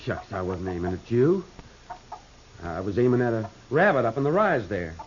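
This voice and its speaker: A sullen, defiant young ranch hand in an old radio western. He talks in a slangy rural American accent with animated swings of pitch. The vintage recording is dull, with faint noise. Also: moderate pace. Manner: slangy, curt, evasive. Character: surly, defiant young frontier ranch lad. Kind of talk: 1950s American radio drama acting.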